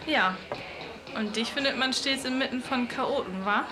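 A woman's voice: a little high, moderate pace, music in the background, close to an everyday voice, low-quality recording. A woman playing a sassy, self-assured, teasing bar boss in a German sci-fi audio drama.

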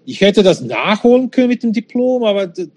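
A man's voice: sits high, big pitch swings, fairly quick, a little mellow, no room sound. A man in his fifties from Switzerland, not a native German speaker, reminiscing casually and candidly as an interview guest. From Switzerland, born in Czechoslovakia. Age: 50s.

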